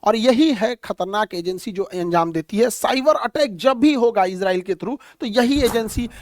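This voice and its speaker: A man lecturing on current affairs in a blunt, folksy, animated way, full of homely comparisons. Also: high-pitched, normal speed, no room sound, clean studio sound. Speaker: teacher.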